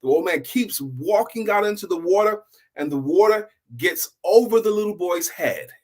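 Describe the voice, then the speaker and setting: A man hosting a story show, telling a motivational tale in a deliberate, emphatic way with repeated phrases. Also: high voice, expressive. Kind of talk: motivational oral storytelling to online viewers.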